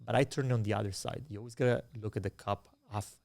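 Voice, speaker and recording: A young man with an Italian accent talks as a musician guest in a loose, animated interview. He leans on fillers and swears freely as he tells stories. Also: on the low side, fast, vocal fry, no echo. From Italian Canadian. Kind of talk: casual interview storytelling.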